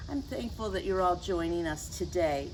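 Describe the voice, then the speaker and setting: A woman reading a picture book to young children in a gentle, teacherly way, with chatty asides to her listeners. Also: lowish voice, animated, moderate pace, a little hiss or hum, rough recording. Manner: gentle teacherly address, tag questions to the listeners. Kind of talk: read-aloud story time for young children with chatty asides. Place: outdoors.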